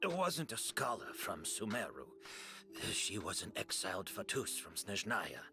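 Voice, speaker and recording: A man playing a weary, resolute game character, speaking gravely and deliberately. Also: on the low side, very animated, music in the background. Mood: resigned, revealing. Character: weary, resolute man confessing long-kept secrets. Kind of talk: video game voice acting.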